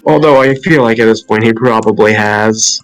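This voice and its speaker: A man's voice: fairly low pitch, moderate pace. A man playing a tabletop game, speaking briefly and matter-of-factly in character. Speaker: player. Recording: faint background music.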